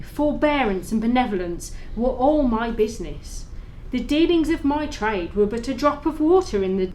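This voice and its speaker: A woman's voice: a little high, a little hiss or hum, low-quality recording. A woman playing the mournful, tormented ghost of a dead business partner, speaking solemnly in an audio play with wailing laments. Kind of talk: library audio play acting.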